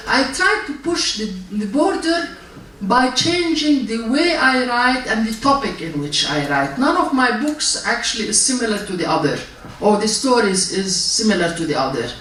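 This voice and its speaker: An older woman from Palestine, speaking as a writer on a festival panel, chatty and self-mocking with halting restarts. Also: a little high, a bit bright. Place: public library event hall. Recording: echoey hall, distant mic, faint noise.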